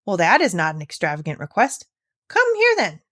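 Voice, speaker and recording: A woman's voice: medium pitch, wildly swooping pitch, a little fast, a bit bright. Woman reading as a kindly, emphatic old grandmother. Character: kindly, generous old grandmother, gentle but firm. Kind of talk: storybook read-aloud.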